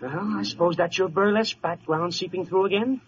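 Actor as a fast-talking, pompous politician. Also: male voice, sits high, natural voice. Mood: indignant. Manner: indignant, stuffy. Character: pompous, prissy, irritable male politician. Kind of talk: 1940s radio comedy acting. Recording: muffled old radio broadcast, faint noise.

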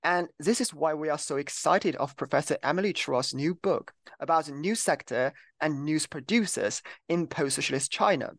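A man's voice: on the high side, normal speed, creaky, few pauses, no room sound. A young man hosting an academic podcast interview, speaking earnestly with a few restarts. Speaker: podcast host, PhD student. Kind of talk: interview introduction.

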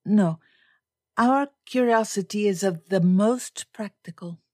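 A woman's voice: mid-range voice, slow pace, darkish tone, dry recording, studio quality, voice pushed up. A woman voicing a poised, grave young foreign lady in an audiobook, with quaint formal phrasing and a subtly teasing manner. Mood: formal, earnest.

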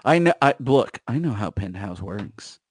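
A man's voice: on the low side, very animated, unhurried, breathy edge, dry recording, studio quality. An American man co-hosting a comedy podcast, chatting casually in rambling, profane riffs that restart their phrases. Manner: rambling, repeats phrase openings, profane riffing. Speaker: podcast co-host. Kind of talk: casual comic chat.

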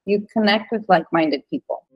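A woman's voice: mid-range voice, fairly quick, muffled recording, soft background music. A woman from Venezuela who grew up in Utah, chatting earnestly as a podcast guest, with lots of fillers and easy laughs. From Venezuela, raised in Utah. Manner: warm, earnest, laughs easily, many fillers. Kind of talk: casual interview chat.

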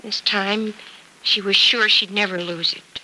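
A tender, loyal woman in a vintage radio serial. She is earnest and emotive, with a very bright mid-range voice and audible recording noise around it. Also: medium pitch, fairly even intonation, measured pace, low-quality recording. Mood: wistful. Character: tender, sorrowful woman, loyal and supportive friend. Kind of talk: old-time radio soap opera acting.

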